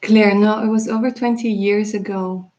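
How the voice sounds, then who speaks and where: A woman's voice: medium pitch, fairly even intonation, moderate pace, a little mellow, lo-fi sound. A Russian-born woman, a native Russian speaker, who works as a tarot reader and chats with viewers on a live stream. She laughs often and calls them darling.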